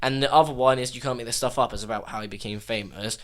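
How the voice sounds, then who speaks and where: A young man, loudly joking and swearing through casual podcast banter with his bandmates. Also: medium pitch, fast. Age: young adult. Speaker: podcast host and band member.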